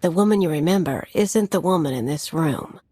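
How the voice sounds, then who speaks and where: A woman's voice: low voice, animated, normal speed, mellow, breathy edge, no room sound, clean studio sound. An actress playing a brash, cynical female anarchist bomber in a video game cutscene, talking in a clipped, tough, sardonic way. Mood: bitter.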